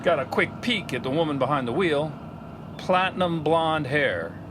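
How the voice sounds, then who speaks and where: A man's voice: a little high, animated, normal speed, close to an everyday voice. A man playing a wistful, laconic drifter musician in a comedy audio drama. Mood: intrigued. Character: laid-back, wistful male drifter musician. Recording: clear car and road noise.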